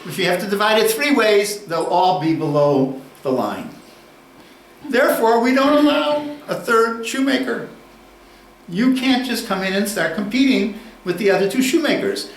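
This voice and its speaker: A man giving a university lecture, deliberate and unhurried, posing questions and then answering them. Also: high-pitched, animated. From United States. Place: lecture room. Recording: echoey room, distant mic, faint ambience.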